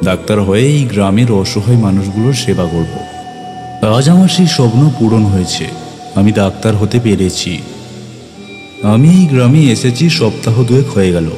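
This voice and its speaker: Male actor as caring village doctor, first-person horror narrator: lowish voice, expressive, earnest, music loud behind. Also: close to an everyday voice. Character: young village doctor Aniket, earnest, caring, telling his ghost tale.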